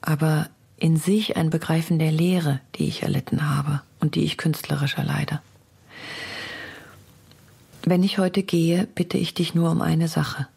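A woman's voice: low-pitched, fairly even intonation, measured pace, creaky, a little mellow, husky, full character voice. An actress playing a woman writer confiding an intense, resolute love letter, intimate and half-whispered, for a radio feature. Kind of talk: dramatic reading of a personal letter in a radio feature. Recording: music under the voice.

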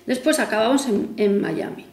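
A woman's voice: on the high side, normal speed, a little mellow. A woman, chatting casually to camera in a rambling way with self-mocking asides. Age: 40s. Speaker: vlogger. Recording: echoey room with faint music.

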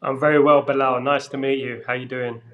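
A man, a guest giving measured, plain-spoken football views over a video call. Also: medium pitch, flat delivery, narrow, tinny audio. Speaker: guest pundit. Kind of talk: casual football chat. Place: room with brick wall, indoors. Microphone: podcast mic on video call.

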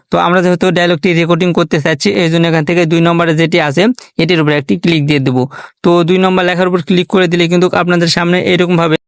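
Male tech tutorial narrator: brisk step-by-step instruction, flat intonation, close dry voice-over. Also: high-pitched, smooth, no room sound. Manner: instructional, addresses viewers as friends.

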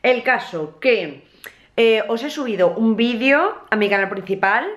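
A young Spanish woman talks straight to her camera, held at arm's length in a small living room. She is chatty and expressive, with quick asides to her viewers and a casual, friendly flow. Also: a little high. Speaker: vlogger. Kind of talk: casual vlog chat. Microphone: handheld camera at arm's length.

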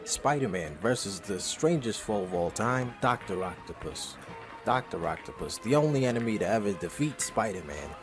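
Man as comic-book narrator, low voice, dramatic announcer delivery, scripted, music behind. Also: animated, moderate pace, lightly acted.

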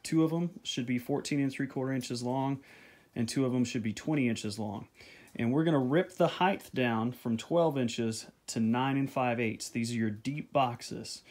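A man talks through each cut in his home workshop, recorded on a camera mic close to the table saw. He speaks plainly at a middle pitch, repeats the numbers and checks in with "okay?" after each step. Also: normal speed, darkish tone. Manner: plain, repeats measurements, ends steps with "okay?". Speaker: beekeeping video host. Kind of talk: step-by-step woodworking instruction.

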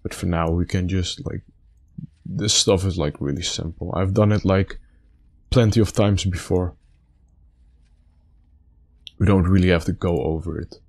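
Man, low pitch, slightly raspy, congested nose, halting casual gameplay commentary, thinking aloud. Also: steady tone, a little mellow. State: stuffy nose after being sick. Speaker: gaming video host.

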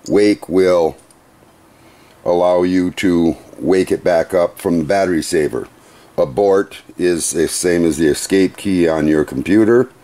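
Middle-aged male demonstrator, workshop, low pitch, slow methodical plain delivery. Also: warm tone. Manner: unhurried, methodical, plain-spoken with small restarts. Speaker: CNC machine demonstrator. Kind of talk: hands-on equipment demonstration. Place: workshop beside a CNC router.

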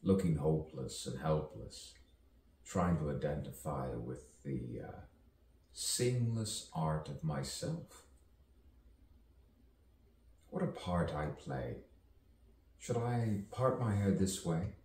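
A man performing a theatrical poetic soliloquy full of wordplay, musing dramatically and drawing his words out with long pauses. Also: extremely low pitch, very animated, darkish tone. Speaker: poet performing own monologue. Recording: roomy, distant mic, faint background noise.

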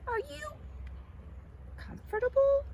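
A woman's voice: very high-pitched, slow, gravelly, warm tone, a little hiss or hum, pitched above the performer's own voice, full character voice. A woman voicing a friendly young male caterpillar in a storybook, kind and encouraging. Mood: calling out. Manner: encouraging, comforting.

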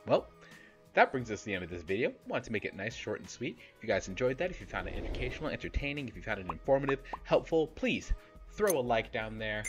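Lively, blunt man giving fitness advice. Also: mid-range voice, fast, muffled recording, over music. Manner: animated, blunt and playful, with casual swearing. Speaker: fitness YouTuber host. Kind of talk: direct-to-camera fitness advice. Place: small indoor home studio room. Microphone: close podcast microphone, seen.

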